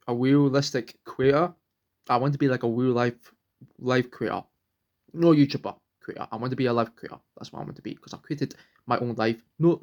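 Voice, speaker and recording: A young man, vlogging at home, rambling earnestly and restarting sentences as he searches for words. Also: mid-range voice. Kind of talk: rambling personal vlog.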